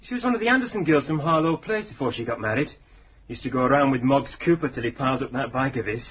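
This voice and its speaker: An actor playing a blunt, earthy, gossiping police sergeant in a radio drama. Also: male voice, mid-range voice, animated, fast talker, lo-fi sound, a little hiss or hum, close to an everyday voice. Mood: gossipy. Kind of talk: 1970s radio drama acting.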